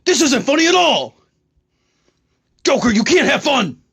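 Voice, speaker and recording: A man's voice: very high voice, big pitch swings, a little slow, vocal fry, a bit bright, exaggerated voice. A man voicing a harried, self-important superhero in a comedy parody, scolding and pleading in indignant exasperation.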